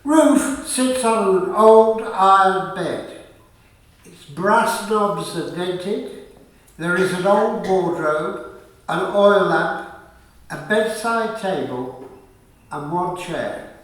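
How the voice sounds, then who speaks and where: High-pitched male narrator, very echoey. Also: animated, very slow, a bit bright. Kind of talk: scripted radio play narration. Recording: very echoey room, distant mic.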